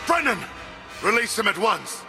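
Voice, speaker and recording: An actor playing a menacing, zealous cult leader in a video game, speaking in grandiose, solemn declarations with music behind him. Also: male voice, high voice, big pitch swings, moderate pace, creaky, exaggerated voice. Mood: angry, alarmed. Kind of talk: scripted video game cutscene acting.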